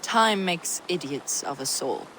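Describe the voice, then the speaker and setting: An actress playing a learned, devout mystic woman in a video game, cryptic and a little condescending, with measured, ornate speech. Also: on the low side, expressive, fairly bright tone, slight background noise, low-quality recording. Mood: wry, composed. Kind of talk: video game cutscene acting.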